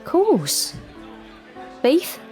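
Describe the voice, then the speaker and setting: An actress playing a weary, sharp-tongued barmaid in an audio drama, wry and guarded, with a working-class English accent. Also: female voice, extremely high pitch, wildly swooping pitch, drawn-out words, soft background music, heavily acted. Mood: dry, teasing.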